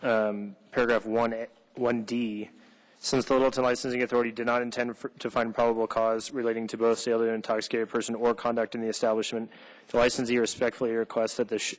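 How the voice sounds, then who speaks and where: A board member speaks in an even, flat tone and asks careful questions about the paperwork. He talks in plain formal meeting language. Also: medium pitch, fast talker, poor audio. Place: city licensing hearing room.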